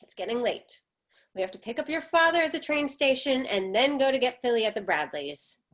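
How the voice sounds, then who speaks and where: A woman's voice: on the high side, expressive, a little fast, fairly bright tone. A woman reading the part of an embittered, defensive middle-aged mother in a stage drama, with emphatic, reproachful delivery. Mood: weary, evasive. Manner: emphatic, reproachful, clipped retorts.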